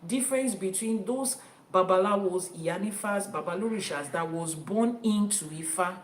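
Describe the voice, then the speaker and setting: A woman's voice: mid-range voice, normal speed, roomy sound, a little hiss or hum. A Nigerian woman whose first language is Yoruba, teaching as an Ifa priestess and stressing her points by repeating them. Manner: emphatic, repeats key phrases for stress. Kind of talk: teaching talk to an online audience.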